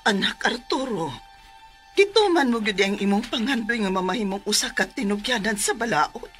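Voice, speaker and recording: A woman's voice: mid-range voice, lively intonation, moderate pace, a bit bright, natural voice. An actress voices a Filipino mother in a radio play. She is tender and proud toward her grown son, in an average register over faint music. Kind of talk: radio drama acting. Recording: music behind the voice.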